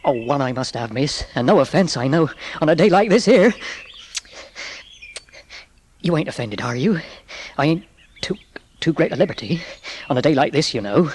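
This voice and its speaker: An actor playing a folksy, working-class English railway porter in an audiobook drama, effusive, halting and deferential. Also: male voice, a little high, expressive, darkish tone, a little hiss or hum, natural voice. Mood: bashful, apologetic.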